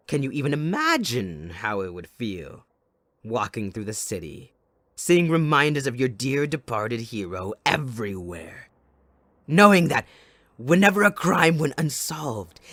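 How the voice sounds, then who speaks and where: A man playing a mocking, possessive, sadistic villain in an ASMR audio roleplay, with a taunting, drawn-out theatrical delivery. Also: medium pitch, sing-song, a bit bright, no echo. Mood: cruel, coaxing.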